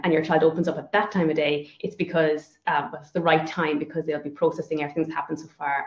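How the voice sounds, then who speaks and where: Female host, rapid casual delivery, conversational and checking her understanding, echoey room with dull audio. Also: sits low, a little mellow. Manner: chatty, paraphrasing and checking understanding. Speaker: interview host.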